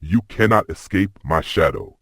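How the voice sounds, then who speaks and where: A man voicing a menacing dark villain bird in a children's cartoon, speaking with ominous threat. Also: deep voice, lively intonation, moderate pace, husky, dry recording, studio quality, exaggerated voice. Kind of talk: children's cartoon story acting.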